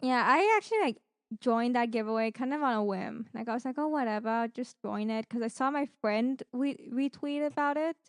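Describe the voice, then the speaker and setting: High, clear-voiced woman chatting casually. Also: a little fast, no echo, clean studio sound. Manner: rambling, animated retelling with acted-out quotes. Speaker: podcast co-host. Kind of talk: casual chat about gaming streams and giveaways.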